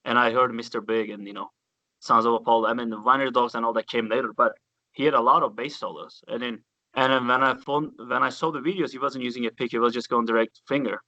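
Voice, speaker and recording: A man with a Turkish accent talks bass playing with excited, story-driven energy. His voice is bright and forceful, recorded dry and close with a slightly dull, muffled sound. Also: quick pace, no room sound. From Turkey. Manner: enthusiastic storyteller, loose grammar, swears casually. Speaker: guest bassist. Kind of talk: casual interview chat.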